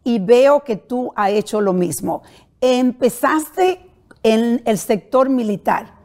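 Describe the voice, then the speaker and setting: A middle-aged woman hosting a talk show, speaking deliberately. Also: on the high side, lively intonation, mellow. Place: talk show studio set.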